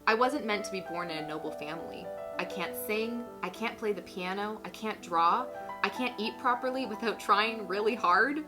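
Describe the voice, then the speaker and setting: A woman in her twenties hosting a casual comedic vlog, giggly, animated and self-mocking, sometimes mock-solemn as she reads aloud. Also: medium pitch, moderate pace, over loud music. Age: 20s. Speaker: vlog host reading own teenage writing. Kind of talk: casual comedic vlog chat with dramatic reading.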